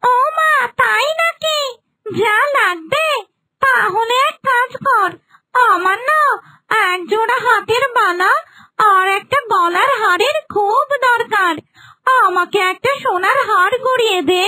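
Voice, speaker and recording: A woman's voice: extremely high pitch, takes it slowly, sharp tone, no echo, exaggerated voice. A woman voicing a young wife possessed by a bossy, menacing ghoul in a children's cartoon, imperious and threatening. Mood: bossy, gloating.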